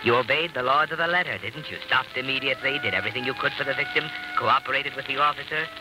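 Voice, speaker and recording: An actor playing a sardonic, needling narrator who taunts like a guilty conscience in an old-time radio drama. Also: male voice, medium pitch, fast talker, close to an everyday voice, poor audio. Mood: sardonic, taunting. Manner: knowing, taunting rhetorical questions. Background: dramatic music.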